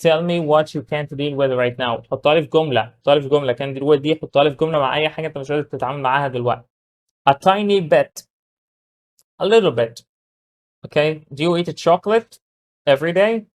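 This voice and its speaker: A man with an Egyptian Arabic accent teaching English, reading model sentences slowly and explaining them in quick Arabic. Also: fairly high pitch. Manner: switches between slow, drawn-out English model sentences and rapid Arabic explanation. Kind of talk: recorded language lesson.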